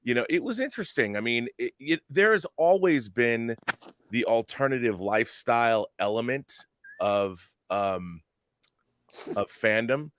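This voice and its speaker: A man's voice: mid-range voice, expressive, fairly bright tone, no echo. A middle-aged man from the Seattle area, hosting a casual podcast chat. He rambles and often restarts his sentences. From Seattle area, United States. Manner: rambling, halting, frequent restarts and fillers. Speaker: podcast host.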